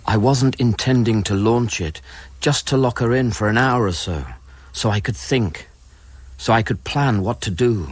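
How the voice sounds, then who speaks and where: An actor playing a haunted, guilt-ridden scientist on a space station in a radio play, brooding, hesitant and intimate. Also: male voice, mid-range voice, moderate pace, slightly rough, close to an everyday voice. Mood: guarded. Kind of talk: radio play acting and first-person narration. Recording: music under the voice.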